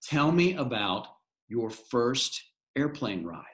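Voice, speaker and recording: A middle-aged man leads a live panel discussion. He speaks enthusiastically, often doubling words as he builds a question. His pitch is ordinary, in the middle range. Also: sing-song, takes it slowly, a bit bright. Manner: enthusiastic, repeats words while searching. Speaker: panel moderator, alumnus.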